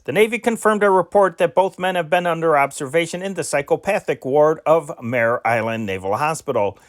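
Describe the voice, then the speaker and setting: A man reads old newspaper dispatches aloud in a slightly raised register. His delivery is measured and unpolished, and he sometimes stumbles and corrects himself. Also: a little high. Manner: amateur, measured reading with occasional slips and self-corrections. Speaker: news reader.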